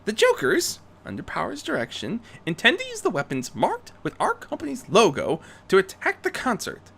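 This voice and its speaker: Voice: mid-range voice, huge pitch swings, normal speed, vocal fry, fairly bright tone, voice pushed up, full character voice. A performer voicing a young female unicorn vigilante, refined and determined, speaking in an earnest, theatrical, articulate way for a fan fiction reading.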